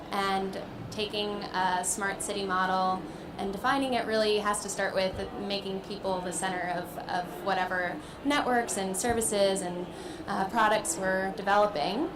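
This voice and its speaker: A woman speaks on a conference panel in a measured, earnest way. Her pitch is mid-range. Steady noise runs under her voice. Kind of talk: panel discussion. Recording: noisy background.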